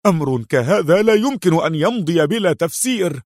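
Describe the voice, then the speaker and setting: A man's voice: high voice, lively intonation, brisk, darkish tone, no room sound, studio quality, voice pushed up. A man voicing a bitter, weary and indignant intelligence chief with a terse manner, in a dramatised audiobook. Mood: angry.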